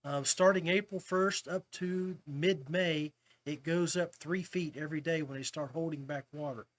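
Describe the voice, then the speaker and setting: A man hosting a podcast, talking casually and a little haltingly as he walks viewers through details. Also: a little high, steady tone. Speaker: podcast host. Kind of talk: casual informational talk to viewers.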